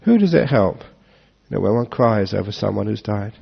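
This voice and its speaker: A low, gravelly man gives an easygoing Dharma talk, breaking into jokes and chuckles as he answers the audience. The recording sounds dull and lo-fi. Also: moderate pace. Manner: relaxed, joking, chuckles often. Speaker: monk giving a Dharma talk.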